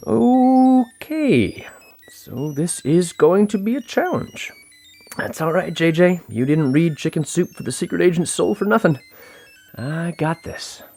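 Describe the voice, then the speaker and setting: A woman playing a brisk, officious government agent with a dry, exasperated manner in an audio drama. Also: fairly low pitch, lively intonation, vocal fry, mellow. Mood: dismayed. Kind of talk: audio drama acting, briefing delivery.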